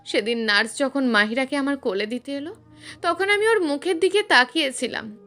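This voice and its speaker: A woman's voice: high voice, normal speed, bright, forceful tone, faint music behind. A woman narrator voicing a guilt-ridden, frightened middle-aged father in a story, haunted and pleading. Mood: haunted, insistent.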